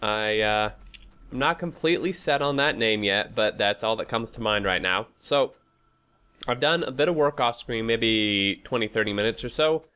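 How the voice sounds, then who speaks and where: Man, lowish pitch, congested with a cold, casual game commentary, rambling, thinking aloud. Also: expressive, normal speed, pushed and bright, smooth. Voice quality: stuffy, congested nose. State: sick with a head cold. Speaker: host of a gaming playthrough series.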